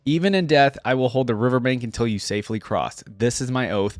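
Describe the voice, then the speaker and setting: A man's voice: mid-range voice, animated, brisk, dry recording, natural voice. Man reading as fierce commanding female war chief. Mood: defiant, solemn. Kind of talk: storybook read-aloud.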